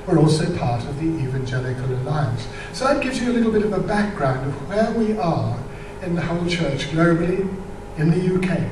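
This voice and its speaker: A man gives an informal, explanatory church talk, pausing and hesitating as he goes. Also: a little high, expressive. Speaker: church speaker explaining membership. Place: large church hall with audience, indoors. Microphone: microphone on a stand. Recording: echoey hall, distant mic, noisy.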